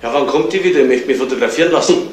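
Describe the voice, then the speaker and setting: A man's voice: mid-range voice, darkish tone, lightly acted. A man playing a cheeky, probing junior police detective in a 1970s radio crime drama, laconic and wry. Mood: wry, dry. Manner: laconic, wry, quick questioning. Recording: very noisy, distant, echoey radio play.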